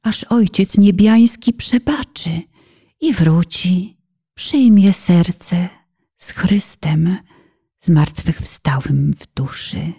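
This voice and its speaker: Slow, breathy woman reciting devotional poetry. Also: lowish voice. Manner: solemn, fervent, dramatic phrasing with hushed breathy moments. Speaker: narrator and reciter. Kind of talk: poetic religious recitation, radio-play meditation.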